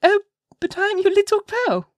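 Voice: very high-pitched, very animated, normal speed, no room sound, studio quality, voice pushed up. A narrator voicing an impish, willful little girl in an audiobook, with a childlike, insistent manner. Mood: playful teasing. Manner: bright, insistent, childlike exclaiming. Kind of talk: dramatized audiobook character voice.

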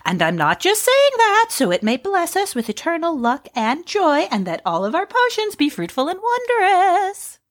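A woman playing an imperious, whimsical sorceress in a comedic fantasy audio drama, with a melodramatic, haughty, drawn-out delivery. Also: very high-pitched, wildly swooping pitch, heavily acted. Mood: delighted. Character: imperious, theatrical, whimsical sorceress mentoring an apprentice.

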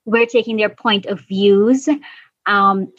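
A woman's voice: mid-range voice, steady tone, slow pace, a bit bright, no room sound. Woman from Guyana, thirties, earnest, a bit muffled, dry. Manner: earnest, thinks aloud with restarts. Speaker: guest, environmental officer. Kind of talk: casual interview answers.

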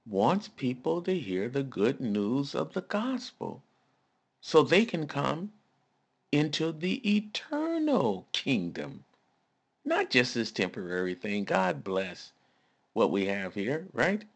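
Slow, gravelly-voiced male preacher. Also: medium pitch. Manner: emphatic, folksy, asks the audience rhetorical questions. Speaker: pastor. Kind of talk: conversational sermon.

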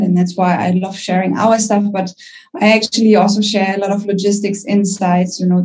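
A woman's voice: medium pitch, warm tone, low-quality recording. A young woman with a German accent, a company founder speaking confidently as a guest on a business podcast. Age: young adult.

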